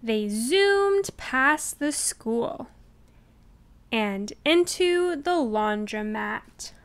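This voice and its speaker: A woman reading a children's picture book aloud, with deliberate and expressive enunciation. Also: fairly high pitch, clear, resonant tone. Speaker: storybook reader. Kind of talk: children's read-aloud narration.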